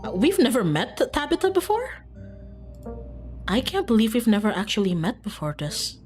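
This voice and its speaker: A woman's voice: fairly high pitch, wildly swooping pitch, normal speed, darkish tone. A woman streaming a game, reading aloud and commenting casually in an informal, giggly, self-interrupting way. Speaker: streamer playing and reading aloud a visual novel. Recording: music behind.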